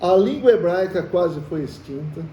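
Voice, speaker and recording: A man with a Brazilian Portuguese accent leads a church Bible study, quizzing his listeners and calling them brothers. His voice sits a little high but sounds mellow. He is heard from across a reverberant room. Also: animated, moderate pace, highs cut off. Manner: conversational, quizzes the group with questions. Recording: echoey room, from far off, faint hiss.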